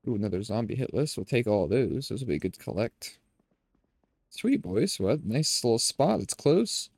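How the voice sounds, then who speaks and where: A man's voice: mid-range voice, moderate pace, gravelly, dry recording. A young man with a nasal voice, casually commenting on his gameplay to viewers through a headset. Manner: relaxed, talks to viewers as "boys". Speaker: gaming streamer. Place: home room, indoors.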